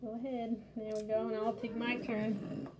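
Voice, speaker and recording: A woman's voice: a little high, level tone, normal speed, darkish tone, slight background noise. A woman hosting a children's library storytime, casually showing a craft and game step by step in simple words.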